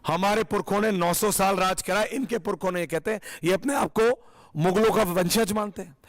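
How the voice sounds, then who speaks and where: A man's voice: high-pitched, steady tone, normal speed. A man moderating a heated TV debate, interrupting and pressing the panel, his throat sore. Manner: interrupting, forceful, mixes English phrases. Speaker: debate show anchor.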